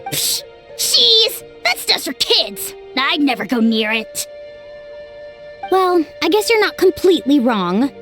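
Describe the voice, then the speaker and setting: A woman's voice: high voice, very animated, slow, sharp tone, soft background music, heavily acted. A woman voicing a spirited, cocky young hero in a video game, brash and playful. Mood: playful, opinionated.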